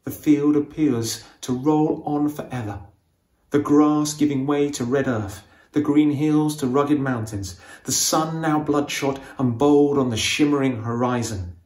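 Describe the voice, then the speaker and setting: A man reading a novel aloud as its author, with expressive, suspenseful storytelling in a dramatic audiobook style. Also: medium pitch, measured pace, echoey room.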